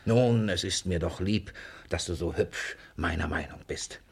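A man's voice: sits low, measured pace, creaky, slightly rough, full character voice. An actor playing a miserly, suspicious old father in a classical comedy radio play, blustering and muttering in a theatrical way. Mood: self-satisfied, sly. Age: older.

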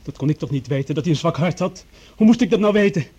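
High-pitched, nervous young lab worker. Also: male voice, lively intonation, quick pace, a little mellow, breathy edge. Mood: defensive, anxious. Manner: flustered, pleading. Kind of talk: radio drama acting.

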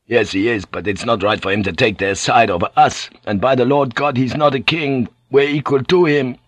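A man's voice: mid-range voice, steady tone, normal speed, dry recording, natural voice. A man voicing a truculent, resentful merchant seaman in an audiobook, hissing and arguing indignantly.

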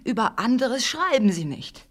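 An actress playing a brooding, intense, bookish mother in a literary radio play, hushed at times and insistent at others. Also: fairly high pitch, big pitch swings, normal speed. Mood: absorbed, weary.

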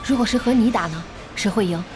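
An actress playing a feisty, bossy young internet cafe boss in an audio drama, blunt and expressive. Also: female voice, medium pitch, over music, lightly acted. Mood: curious. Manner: blunt, expressive, quick to scold.

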